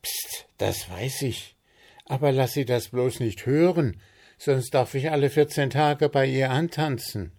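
A man voicing a grumpy, proud male gnome baker, huffy and quick to take offence, in a storybook reading. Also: mid-range voice, darkish tone, pitched above the performer's own voice, natural voice. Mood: boastful. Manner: huffy, indignant, quickly agitated.

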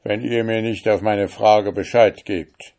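A man's voice: fairly low pitch, fairly even intonation, extremely slow. A man voicing a rich, wicked judge who blusters and threatens in a storybook reading. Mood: blustering. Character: rich wicked male judge, overbearing and arrogant.

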